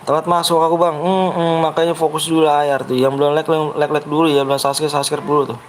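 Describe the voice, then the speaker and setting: A man's voice: on the high side, flat delivery, fast, slight background noise. A young man with a Betawi accent, live-streaming a game and chatting with his viewers in a blunt, brash way that he says sounds harsh, while he keeps urging them to like and subscribe. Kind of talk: live gaming stream chat.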